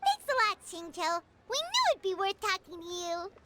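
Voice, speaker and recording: A woman voicing a tiny, childlike, excitable fairy companion in a video game, with a squeaky, eager voice. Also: moderate pace, background noise. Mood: chirpy, eager. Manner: excitable, refers to self in third person. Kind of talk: video game cartoon voice acting. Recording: game dialogue under loud music. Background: loud tinkling game music.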